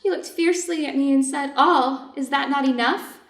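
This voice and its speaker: A woman's voice: sits high, normal speed. A woman playing an anxious, devoted doctor's wife in a reader's theater piece, earnest and careful in her delivery. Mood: anxious, relieved. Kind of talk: reader's theater stage reading. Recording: echoey room, distant mic.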